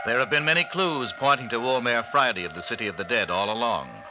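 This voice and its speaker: An American man announcing a 1940s radio serial, reading a script with dramatic, measured phrasing. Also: medium pitch, lively intonation. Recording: noisy vintage radio recording.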